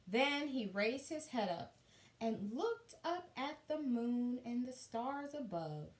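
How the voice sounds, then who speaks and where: Female picture-book reader: high-pitched, slow and expressive, with a sing-song feel for rhyme. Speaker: storybook reader. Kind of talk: expressive rhyming children's story narration.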